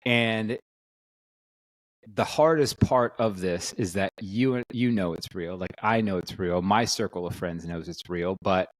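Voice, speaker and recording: A man's voice: low-pitched, a little slow, no echo, rough recording. A man who is a fitness coach, talking as a podcast guest in an earnest, reflective way, often restarting his sentences. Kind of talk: podcast discussion.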